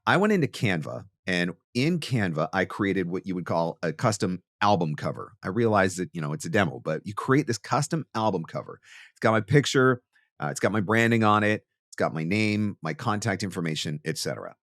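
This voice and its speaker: A man's voice: low-pitched, animated, a little fast, a bit bright, no room sound. A man hosting a podcast, giving marketing advice in an emphatic, conversational way and speaking straight to the listener. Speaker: podcast host; voice actor.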